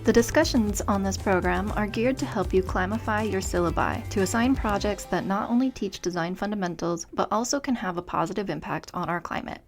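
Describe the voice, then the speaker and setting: A woman reading a smooth scripted podcast introduction. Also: mid-range voice, fairly quick, few pauses. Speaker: show intro announcer. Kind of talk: scripted announcement. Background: music.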